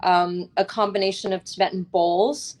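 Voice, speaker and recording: A woman's voice: mid-range voice, fairly even intonation, normal speed. A woman speaking as a guest author in a conversational interview, in an earnest, reflective way, often checking in with a short tag question.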